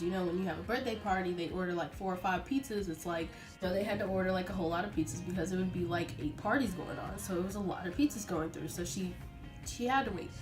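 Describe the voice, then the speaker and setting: A woman's voice: medium pitch, low-quality recording. A young adult woman telling a personal story casually and dramatically, like a vlogger, acting out what people said. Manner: chatty, dramatic, acts out quotes. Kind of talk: casual animated storytelling to camera.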